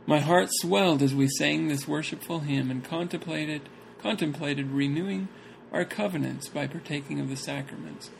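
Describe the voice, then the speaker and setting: A man's voice: a little high, creaky, slight background noise, rough recording. A man reading a church talk aloud and chatting casually about it, breaking into jokes and laughter between passages.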